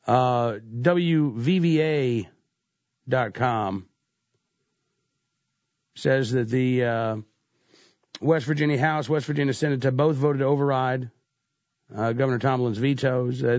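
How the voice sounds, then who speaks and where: A man's voice: medium pitch, moderate pace, long pauses, darkish tone, dry recording, highs cut off. Congested male talk radio host. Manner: conversational, frequent "uh" fillers. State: congested with a cold. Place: radio studio. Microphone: studio microphone.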